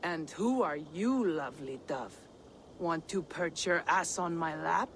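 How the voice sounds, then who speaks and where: A woman's voice: low-pitched, lively intonation, unhurried. A sly, brazen female mercenary boss in a game drama. She takes her time, drawling and teasing with theatrical relish. Faint ambient noise sits behind her. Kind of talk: dramatic video game acting.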